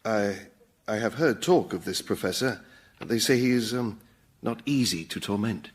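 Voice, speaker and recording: An actor playing a pompous, servile demon underling in a comedy radio drama, speaking in grandiose, theatrical, archaic phrases. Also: male voice, lowish voice, lively intonation, normal speed, natural voice. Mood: eager, fawning.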